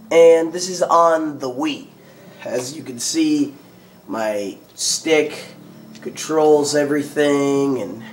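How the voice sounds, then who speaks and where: A man with a hoarse, lost voice and a cough, giving rambling casual commentary on a video game. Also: a little high, a little hiss or hum. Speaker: gaming video host. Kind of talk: let's play commentary.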